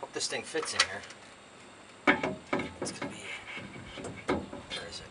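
A man's voice: lowish voice, expressive, unhurried. An older American man chatting casually in a folksy, rambling way as he talks to himself while doing a hands-on job. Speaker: DIY vlogger. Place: inside open cargo van beside a garage, outdoors. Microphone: camera a few feet away. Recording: distant camera mic, loud noise, clicks.

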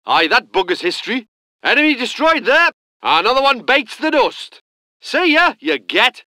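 A man voicing a gruff, salty self-propelled gun crewman, delivering game unit lines. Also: very high-pitched, big pitch swings, moderate pace, pushed and bright, no echo, exaggerated voice. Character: gruff northern British male gun crewman. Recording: dry close studio recording.

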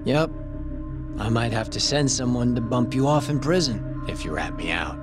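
An actor playing a shifty, needling errand-runner in a video game, talking in a casual, slangy way. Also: male voice, medium pitch, big pitch swings, moderate pace, loud music behind the voice, lightly acted. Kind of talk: English game voice acting.

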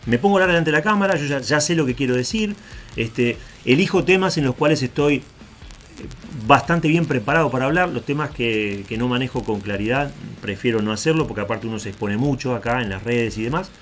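A man's voice: medium pitch, a little fast, fairly bright tone, faint music behind. A man from Argentina, raised in Uruguay, with a Rioplatense accent, casually talking through a screen-share tutorial with frequent asides and tag questions. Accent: Rioplatense Spanish. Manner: chatty, digressive, checks in with "¿tá?". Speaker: tech video host.

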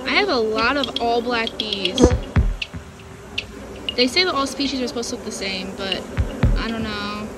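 A woman's voice: fairly high pitch, moderate pace, pauses often, heavy background noise. A middle-aged woman chatting casually and thinking aloud as she works outdoors in a bee yard, informal and exclamatory. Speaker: beekeeping vlogger. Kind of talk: casual chat while working.

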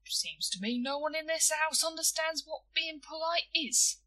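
A woman's voice: a little high, sing-song, normal speed, pushed and bright, slightly rough, exaggerated voice. A woman voicing an earnest, self-important young boy in a story, blunt and stiltedly polite with clumsy grammar. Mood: bitter, irritable.

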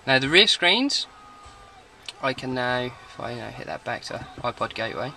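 A man's voice: mid-range voice, very animated, moderate pace, pushed and bright, low-quality recording. A man giving an informal, matter-of-fact product walkthrough as an installer, talking off the cuff. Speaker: car audio installer presenting a demo. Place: in and around a parked car.

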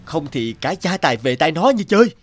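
An actor playing a scheming, pompous elder brother in an animated folk tale, blustering and muttering slyly over music. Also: male voice, fairly high pitch, fairly quick, in a large hall. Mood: alarmed, annoyed. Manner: blustering, sly, muttering to himself. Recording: music bed behind the voice.

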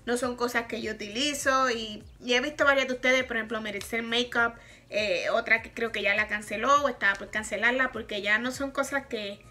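A woman's voice: a little high, steady tone, a little fast, pushed and bright. A woman in her thirties from Puerto Rico with a Puerto Rican accent, chatting frankly in a makeup review vlog and laughing often. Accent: Puerto Rican Spanish. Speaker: makeup vlogger. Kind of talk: casual product review. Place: bedroom, indoors, small.

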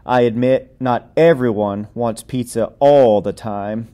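A man with a mid-pitched voice reads a picture book aloud. He is a playful storyteller who acts out the story with plenty of expression. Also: slow pace, dark tone.

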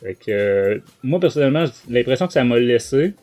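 A man's voice: medium pitch, lively intonation, fairly quick, warm tone, dull audio, soft background music. A man with a Quebec French accent, a podcast host giving a casual review in a hesitant, rambling way. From Quebec, Canada.

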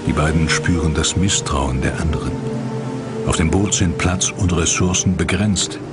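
Male narrator for scripted disaster documentary, very low register, music underneath. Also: moderate pace.

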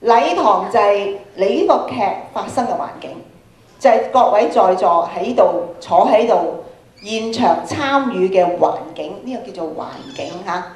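A woman's voice: medium pitch, measured pace. A middle-aged woman with a Hong Kong Cantonese accent speaks as a workshop presenter. She addresses a student audience through a handheld microphone, often asking questions. Her voice rings with the echo of a large auditorium. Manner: animated questioning, projecting to an audience. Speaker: workshop speaker, radio programme producer. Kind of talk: interactive lecture to students. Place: large school hall, on stage. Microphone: handheld microphone through PA, camera at a distance. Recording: echoey auditorium PA sound.

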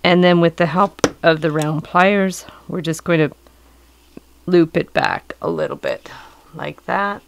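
Middle-aged woman with a cold, low gravelly voice, calmly walking you through a craft, dry close sound. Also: unhurried, no room sound. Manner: calm, patient, explanatory. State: hoarse from a cold. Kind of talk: step-by-step craft instruction. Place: indoors at a work table.

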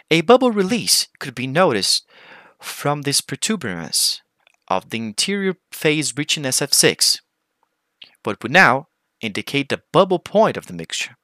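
A man giving a formal, scripted conference presentation as a researcher, with deliberate phrasing. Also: a little high, pushed and bright, dry recording, studio quality.

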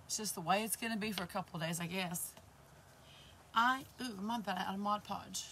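A woman in her fifties with a hoarse voice, chatting to viewers as she works through a live craft project in her craft room. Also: mid-range voice, a little fast, sharp tone, a little hiss or hum. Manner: chatty, greets viewers while working with her hands. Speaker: craft channel host. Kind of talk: live crafting stream chat. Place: indoor craft room with shelves. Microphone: camera facing her across the table.

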